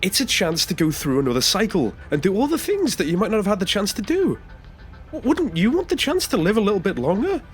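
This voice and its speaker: Male actor as jittery defensive male passenger, stammering, highish animated voice, sci-fi audio drama. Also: soft background music. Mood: defensive, earnest. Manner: stammering, hesitant, halting. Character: nervous, defensive male taxi passenger pursuing renewal. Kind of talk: science fiction audio drama acting.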